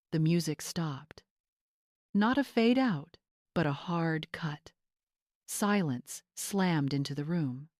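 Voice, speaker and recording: Low-pitched woman narrating dramatic fiction. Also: darkish tone, dry recording, clean studio sound. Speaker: story narrator.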